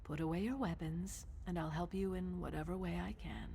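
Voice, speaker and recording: An actress playing a poised, sly woman of a warrior order in a video game, with measured, silky, teasing speech. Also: fairly low pitch, fairly even intonation, gravelly, slightly rough. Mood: calm, placating. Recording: long reverb, loud ambient noise.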